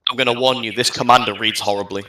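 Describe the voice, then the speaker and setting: A man's voice: mid-range voice, steady tone, fast, sharp tone, slight background noise, low-quality recording. A guest player who jokes about his own deck and laughs often in casual banter. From United Kingdom. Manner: self-mocking, laughs often. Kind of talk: casual game-night banter.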